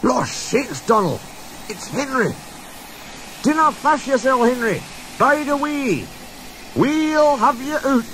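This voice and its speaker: A man's voice: very high voice, big pitch swings, slow pace, pauses often, a bit bright, a little hiss or hum, voice pushed up, full character voice, rough recording. A man voicing a pair of gloomy male steam engine twins in a broad Scottish accent. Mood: eager. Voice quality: broad dialect, rolled speech.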